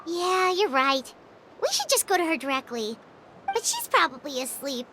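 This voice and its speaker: A woman voicing a small, cheerful, childlike companion creature in a video game, chirpy and expressive. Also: extremely high pitch, normal speed, a little hiss or hum, heavily acted. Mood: pondering. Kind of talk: English video game voice acting. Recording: game music behind the voice.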